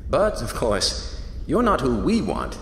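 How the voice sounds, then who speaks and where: A man's voice: mid-range voice, wildly swooping pitch, takes it slowly, slight background noise. A man voicing a smug, menacing cult leader giving orders in a radio horror drama. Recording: echoey hall with long reverb.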